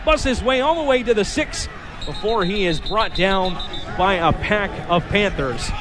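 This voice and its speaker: A man's voice: high voice, lively intonation, unhurried. An American man doing live play-by-play sports commentary on the radio.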